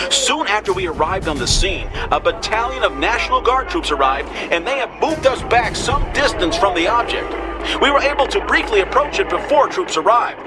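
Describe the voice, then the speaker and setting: A high-voiced American field reporter. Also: male voice. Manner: formal, descriptive. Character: tense male field news reporter, earnest. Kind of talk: live news report in radio drama.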